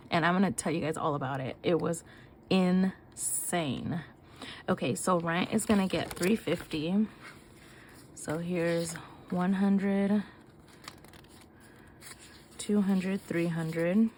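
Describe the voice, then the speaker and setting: A woman's voice: on the low side, a little mellow, slight background noise. A young woman vlogging about her budget as she counts cash aloud, sounding nasal and short of breath from illness. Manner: counts bills aloud in quick chatty bursts. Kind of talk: casual chat while counting cash.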